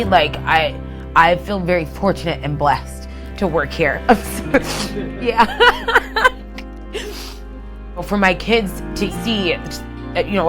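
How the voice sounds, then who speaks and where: A woman giving a heartfelt, emphatic personal testimonial as a company employee. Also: lowish voice. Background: music.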